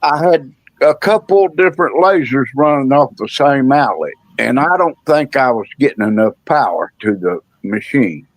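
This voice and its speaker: A man's voice: medium pitch, expressive, normal speed, dry recording. A man speaking casually as a livestream guest, recounting his own experience. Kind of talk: casual personal storytelling.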